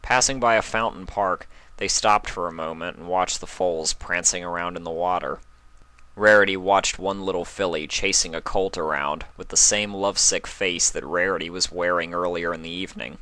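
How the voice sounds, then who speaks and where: Low-pitched man narrating, very dry recording. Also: steady tone, normal speed, pushed and bright, clear, resonant tone, no room sound. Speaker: fan fiction reader, narrator. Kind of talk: scripted story narration.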